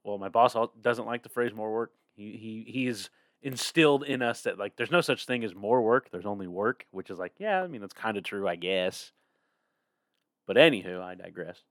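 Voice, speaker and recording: A man's voice: fairly low pitch, expressive, normal speed, fairly bright tone, no echo, studio quality. A young man getting over a cold, chatting casually as a podcast co-host. He is tired, yawns, rambles and laughs often. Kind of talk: casual chat.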